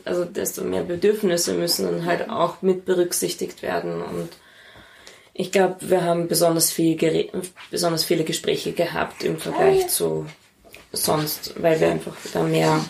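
A woman's voice: fairly low pitch, flat delivery, moderate pace, vocal fry, darkish tone. A woman with an Austrian accent, answering conversationally as an interview guest.

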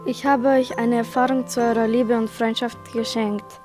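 Woman playing smug female author, radio drama, higher pitch, insistent repetitive declaiming, prominent music bed. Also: little pitch movement, normal speed, lightly acted. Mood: triumphant. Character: smug, gloating famous woman author addressing her protagonists. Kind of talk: school radio play acting.

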